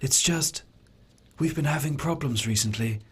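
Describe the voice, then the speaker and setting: A man voicing a melodramatic, discontented farmer's wife in her forties in a parody radio soap, with a husky voice. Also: on the high side, normal speed. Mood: troubled.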